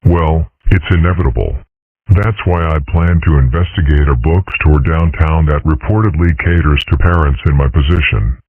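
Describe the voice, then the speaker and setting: A man plays a calm cartoon father lecturing like a professor in measured, formal phrases. His voice is extremely low and husky, caught in a dry, phone-like recording. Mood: mildly wary. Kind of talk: comic strip dub.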